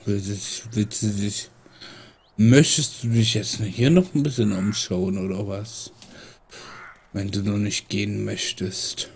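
Slow, gravelly man with a stuffy nose. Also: lowish voice, fairly even intonation, a little mellow, slight background noise. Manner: muttering, teasing the character. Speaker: let's player. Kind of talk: casual gameplay commentary.

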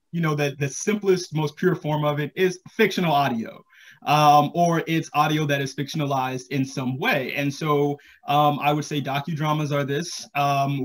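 American man, 30s, slightly high voice, casual podcast-creator guest on a panel. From Charlotte, North Carolina, US. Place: home room, indoors, video call.

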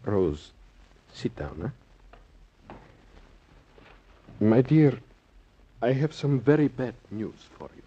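An actor playing an authoritative, considerate hospital doctor with a husky voice in a 1950s radio drama. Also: male voice, medium pitch, big pitch swings, slow pace, pauses often, warm tone, muffled recording, slight background noise. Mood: gentle, concerned.

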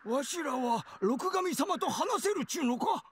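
A man voicing a creaky old villager, pleading and grateful, in a Japanese visual novel. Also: very high-pitched, big pitch swings, brisk, slight background noise. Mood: pleading, awed.

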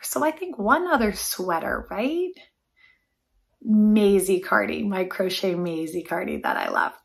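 A woman's voice: medium pitch, expressive, measured pace, gravelly. A woman in her forties chatting casually about her knitting projects, upbeat and thinking aloud, with frequent laughs. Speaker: knitwear designer vlogger. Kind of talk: casual show-and-tell chat. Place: sunny room by a window.

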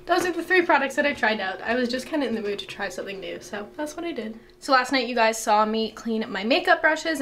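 A woman's voice: fairly high pitch, fairly bright tone. A woman in her twenties chatting casually to the camera at home, bubbly and gushing, with lots of filler words. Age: 20s. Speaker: beauty vlogger. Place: home, bathroom and living room.

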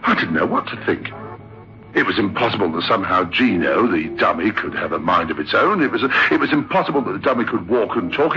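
Rattled, animated theatre manager. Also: male voice, medium pitch. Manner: emphatic, agitated, repeats himself. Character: rattled, sceptical theatre manager telling his uncanny tale. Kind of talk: radio drama acting and first-person storytelling. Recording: muffled old radio recording with music.

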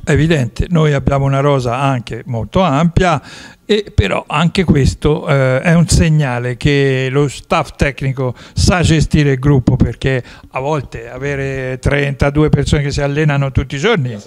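A man in his fifties hosting a football talk show in a studio, talking with animated gestures into a handheld mic. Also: mid-range voice, measured pace. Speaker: sports show host. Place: studio with dark curtain.

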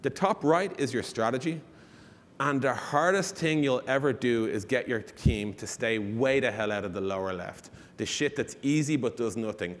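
A man's voice: mid-range voice, normal speed. Man presenting in a reverberant hall. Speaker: conference speaker. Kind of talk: conference presentation. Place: large conference stage, indoors.

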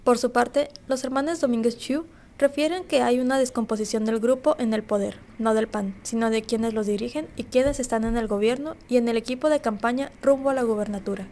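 A woman news reporter reading scripted narration in a steady, formal way. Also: on the high side, a little fast, few pauses, slight background noise.

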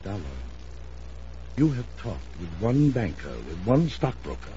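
An actor playing a proud, imperious older wealthy uncle, indignant and blustering, in an old-time radio drama. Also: male voice, medium pitch, big pitch swings, unhurried, mellow. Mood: blustering anger. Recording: muffled, noisy old radio recording.

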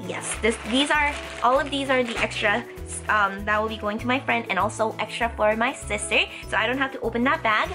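A young woman chatting casually and excitably as a haul vlog host, slightly stuffed up from a cold. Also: a little high, fairly quick, few pauses, music in the background. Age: young adult. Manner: bubbly, excitable. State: stuffy, getting over a cold. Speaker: host of a toy haul channel. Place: indoor room lined with plush toys.

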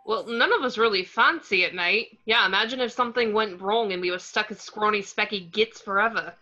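A woman's voice: medium pitch, brisk, pushed and bright. A woman playing a cheeky young male wizard prankster, flippant and teasing, in a table read over a muffled video call.

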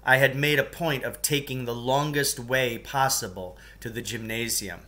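Bright-voiced middle-aged man reading a story. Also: mid-range voice, fairly even intonation, normal speed. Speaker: teacher reading a book aloud. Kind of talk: expressive audiobook-style storytelling in first person. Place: living room at home, indoors.

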